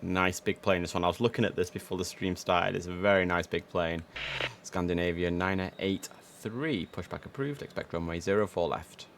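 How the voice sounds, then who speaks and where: A man's voice: low voice, moderate pace. A young man streaming an air traffic control game, chatty and enthusiastic with lots of fillers, sniffly from hay fever. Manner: chatty and enthusiastic, many fillers and self-corrections. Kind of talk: casual live-stream commentary.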